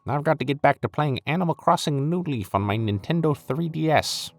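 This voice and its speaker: A man's voice: a little high, animated, fairly quick, gravelly, soft background music. A man playing a flippant, smug secret schemer in a comic audio roleplay, stammering, dismissive and conspiratorial. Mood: breezy, self-satisfied. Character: flippant, flustered secret-cabal schemer, smug and easily bored.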